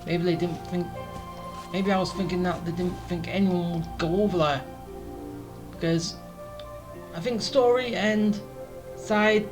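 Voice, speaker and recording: Rambling gamer thinking aloud over faint music. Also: mid-range voice. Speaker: gaming streamer. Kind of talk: casual gameplay commentary.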